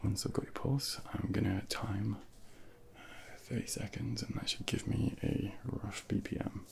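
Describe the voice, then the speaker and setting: A man softly whispering a medical ASMR role play as a junior doctor, gently reassuring and instructing, close to a binaural microphone. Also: fairly low pitch, steady tone, a little slow. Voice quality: breathy whispering, gravelly.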